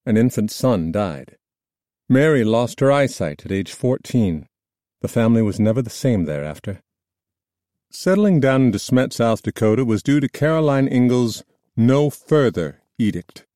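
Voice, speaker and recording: A man reading scripted nonfiction narration in a measured, formal storytelling manner. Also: medium pitch, sing-song, dark tone, no echo, studio quality. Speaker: audiobook narrator.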